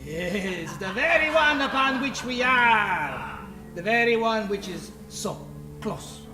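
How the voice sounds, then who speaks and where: Slow, gloating space villain. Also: male voice, sits high, expressive, echoey room, over loud music. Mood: scheming. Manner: hammy, savoring and repeating words. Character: gloating male space villain, oily and scheming. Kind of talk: old-time radio play acting.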